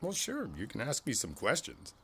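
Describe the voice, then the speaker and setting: Man playing a friendly older neighbor, lively intonation. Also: mid-range voice, moderate pace, vocal fry, slight background noise, full character voice. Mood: thoughtful, gentle. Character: friendly older male neighbor chatting on his porch, easygoing and thoughtful. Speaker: voice actor in an audio roleplay. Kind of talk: ASMR roleplay, one side of a casual conversation. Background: outdoor ambience with birds.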